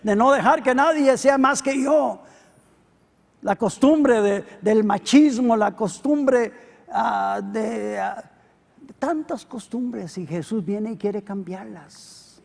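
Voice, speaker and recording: A middle-aged Costa Rican pastor preaching a sermon, swinging between loud emphatic peaks and quiet low asides. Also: male voice, takes it slowly, creaky. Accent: Costa Rican Spanish. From Costa Rica. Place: church hall. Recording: long hall reverb.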